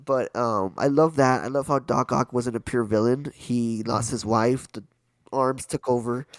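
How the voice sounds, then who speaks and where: A young man co-hosting a podcast, with a hoarse, raspy voice, chatting casually through a film review with quick asides. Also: mid-range voice, no echo. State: hoarse from illness. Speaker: podcast co-host.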